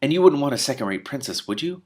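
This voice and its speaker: A man's voice: medium pitch, very animated, fast talker, darkish tone, voice pushed up. A man voicing a feisty, no-nonsense girl with a firm, brusque manner. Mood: firm, matter-of-fact.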